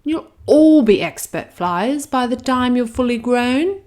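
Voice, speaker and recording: A woman voicing a bossy female dragon schoolteacher who gives orders in a loud, high voice. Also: lively intonation, a little slow. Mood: commanding.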